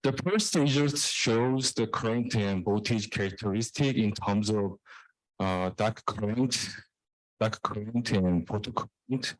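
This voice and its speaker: A man giving a technical research presentation in a halting way, repeating and restarting phrases. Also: fairly low pitch, dry recording, poor audio. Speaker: researcher presenting own work.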